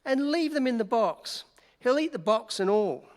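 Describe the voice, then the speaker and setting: A man playing a brash, excitable New York newspaper reporter in an old-time style radio play. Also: very high-pitched, normal speed. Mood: brash, bossy. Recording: echoey hall, long reverb.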